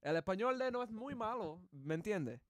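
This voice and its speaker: A man hosting a livestream, reacting excitedly and switching between English and Spanish, with English as his first language. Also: high-pitched, normal speed, dry recording, clean studio sound. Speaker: livestream host. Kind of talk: casual reaction commentary.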